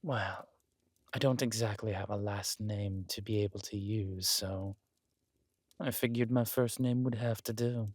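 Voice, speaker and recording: A man playing a regal, wry, menacing villain king in a roleplay audio drama, speaking in a measured, intimate, smugly theatrical way. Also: fairly low pitch, steady tone, a little mellow, no room sound, clean studio sound. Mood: proud, musing.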